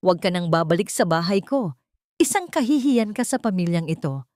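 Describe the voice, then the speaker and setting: A woman's voice: lowish voice, lively intonation, brisk, no echo, studio quality, natural voice. A woman voicing a harsh mother who raises her voice in anger at her daughter. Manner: shouting, raised voice.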